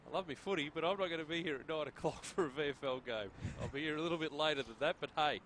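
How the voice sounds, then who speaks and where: Middle-aged Aussie male footy host, higher voice, jokey and chatty, rattles off scores; faint noise. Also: racing, fairly bright tone. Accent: Australian. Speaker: sports broadcast host. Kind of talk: football broadcast commentary.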